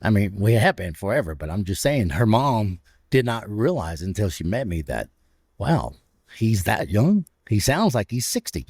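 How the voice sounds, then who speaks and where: A man in his fifties with a deep, raspy voice. He tells his stories in an animated way, laughing between them, with a lively rise and fall in pitch. The sound is dry and close. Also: normal speed, no room sound. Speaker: radio personality, guest. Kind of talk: casual storytelling interview. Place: stadium club room.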